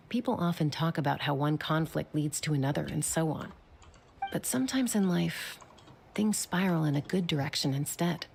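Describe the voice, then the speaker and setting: A woman's voice: sits low, vocal fry, a little mellow, a little hiss or hum. A woman voicing a confident young rider who steadily encourages a friend. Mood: reflective. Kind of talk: video game voice acting. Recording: game music underneath.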